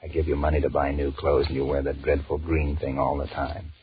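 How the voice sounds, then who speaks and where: A man's voice: rumbling low, little pitch movement, a little fast, husky, full character voice. An actor in a 1940s radio drama playing a weary, restless married businessman who grumbles and wheedles as he flirts with his secretary. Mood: flirtatious, grumbling. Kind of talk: 1940s radio drama acting, inner monologue and dialogue. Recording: muffled, hissy 1940s radio recording.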